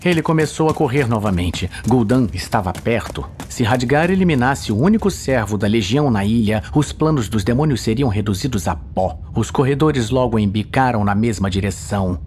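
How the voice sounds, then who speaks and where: A man's voice: mid-range voice, lively intonation, moderate pace, few pauses, a little mellow, slight background noise. A man with a Brazilian accent narrating a scripted fantasy audio story in a dramatic style. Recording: voice over a music soundtrack. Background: music and sound effects.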